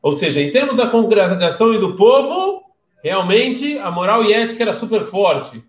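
A man's voice: high voice, very animated, normal speed, roomy sound, muffled recording. A man from Brazil with a Brazilian Portuguese accent, giving a casual live-streamed class and telling stories as he questions his listeners. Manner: chatty storyteller, questions the listeners.

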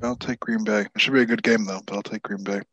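A man co-hosting a casual podcast, laid-back and quick to laugh. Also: on the low side, steady tone, fairly bright tone, slightly rough, no room sound, highs cut off. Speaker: podcast co-host.

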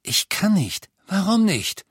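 Reader doing a stubborn teen boy, defensive, voice lifted higher than his own. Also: very animated, slow, slightly rough, dry recording, studio quality, exaggerated voice. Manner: defensive, pressing. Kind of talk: audiobook character voice.

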